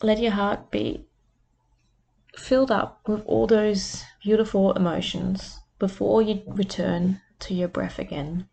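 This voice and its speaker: A woman who hosts a podcast as a life coach, leading a guided meditation in a soft, soothing voice. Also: medium pitch, steady tone, unhurried, gravelly, poor audio.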